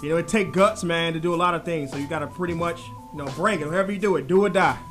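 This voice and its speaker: American male rapper, thirties, high voice, casual slangy interview guest, light music in background. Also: racing, a bit bright. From Detroit, United States. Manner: slangy, addresses listener as 'man'. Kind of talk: casual chat. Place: radio studio with brick wall, indoors. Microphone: close studio microphone, headphones.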